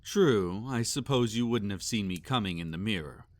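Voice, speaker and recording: A man playing a sadistic, possessive vampire master in a roleplay audio, speaking formally and condescendingly with silky menace and drawn-out commands. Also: fairly low pitch, lively intonation, no echo, close to an everyday voice. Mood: smug, commanding. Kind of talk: roleplay audio acting, intimate and theatrical.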